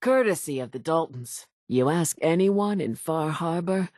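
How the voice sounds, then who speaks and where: A woman's voice: sits low, animated, a little slow, darkish tone, no room sound, studio quality. An actress playing an older island woman in a game, a folksy, dramatic storyteller who mourns her family and wants vengeance. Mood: grieving, bitter. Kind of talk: scripted game character dialogue, theatrical storytelling.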